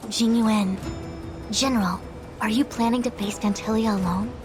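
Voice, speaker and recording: A woman's voice: on the high side, fairly even intonation, moderate pace, music in the background, natural voice. A woman playing a dignified diviner and deputy in a game cutscene, formal and composed. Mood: earnest, loyal. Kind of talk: video game cutscene acting.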